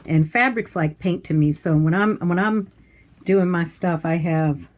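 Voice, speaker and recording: Low-voiced woman touring her art studio. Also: a little slow, a little mellow. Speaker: quilt artist. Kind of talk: casual studio tour video.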